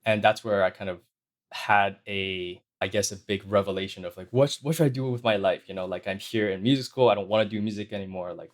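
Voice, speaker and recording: Soft-spoken low-voiced male guest. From China. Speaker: guest, sound designer. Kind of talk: interview answers.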